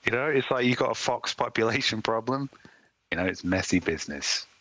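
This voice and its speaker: A man co-hosting a podcast in loose comedic chat. His voice sits low with a husky grain and a bright, forward tone. He spins out absurd ideas and laughs along as he goes. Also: lively intonation, fairly quick, rough recording. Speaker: podcast co-host.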